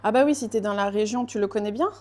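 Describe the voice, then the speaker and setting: A woman's voice: mid-range voice, fast talker, darkish tone. A woman chatting casually, hesitant, with frequent fillers and restarts. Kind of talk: casual chat. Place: lives in Portugal.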